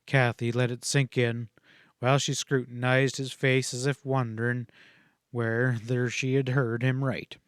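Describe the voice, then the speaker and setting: A man's voice: mid-range voice, flat delivery, a little slow, clear, resonant tone, dry recording, clean studio sound. A man reading a western novel aloud as scripted audiobook narration.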